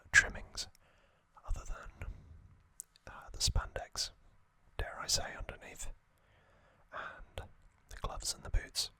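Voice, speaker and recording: A man whispering an ASMR recap as host, soft and unhurried, with frequent hesitant fillers. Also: no room sound.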